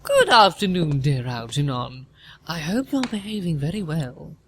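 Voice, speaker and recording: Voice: mid-range voice, wildly swooping pitch, a little slow, slight background noise. Man playing an imperious, pompous aristocratic aunt. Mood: stern greeting. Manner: pompous, disapproving, formal. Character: imperious older aristocratic woman, haughty aunt. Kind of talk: audio play comedy of manners.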